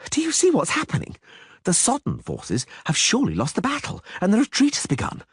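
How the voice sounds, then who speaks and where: Man doing a high, panicky young bard. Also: wildly swooping pitch, normal speed, darkish tone, breathy edge, dry recording, studio quality, full character voice. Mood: panicked. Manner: breathless, pleading and dramatic. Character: panicky excitable male bard, young adult.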